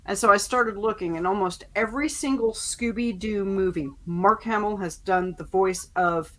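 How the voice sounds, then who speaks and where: A woman chatting casually as a podcast panelist, telling stories in an animated, mock-stern way. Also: medium pitch, moderate pace. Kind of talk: casual chat.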